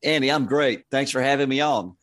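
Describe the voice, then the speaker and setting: A man with a sports announcer's background chats as an interview guest. His voice is mid-pitched, and the sound is dry with very little room in it. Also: brisk, a bit bright, no echo.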